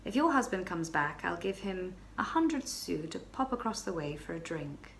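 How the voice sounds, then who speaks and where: A woman voicing a brash, self-assured male stranger who speaks calmly and mockingly. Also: fairly low pitch, normal speed, gravelly, a little hiss or hum. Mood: smug.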